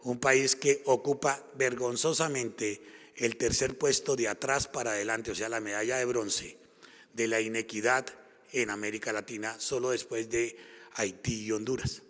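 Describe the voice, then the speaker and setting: A man's voice: mid-range voice, steady tone, moderate pace, fairly bright tone. A man from Colombia with a Colombian accent, giving a conference talk and driving his points home with repeated phrases. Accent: Colombian Spanish. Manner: emphatic, repetitive rhetorical build-ups. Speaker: conference speaker. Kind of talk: public lecture. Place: conference hall. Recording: long hall reverb.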